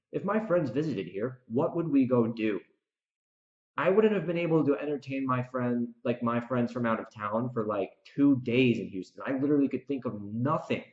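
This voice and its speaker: An American man hosting a solo podcast, ranting casually and emphatically, speaking straight to the listener with strong opinions. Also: medium pitch. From United States. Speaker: podcast host and author. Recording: dull, echoey room recording.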